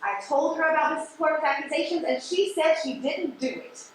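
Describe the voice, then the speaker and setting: Very high-voiced, defensive woman supervisor. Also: expressive. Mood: guarded. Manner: halting, earnest, defensive. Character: defensive, loyal postal supervisor under interrogation. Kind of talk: stage play acting. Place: theater stage. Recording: very echoey, distant, noisy stage recording.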